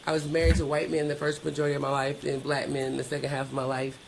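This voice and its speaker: A woman's voice: extremely low pitch, little pitch movement, quick pace, creaky, a little hiss or hum, low-quality recording. A middle-aged woman from Georgia in the United States, speaking candidly as a podcast guest in short, punchy sentences. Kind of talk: candid conversational storytelling.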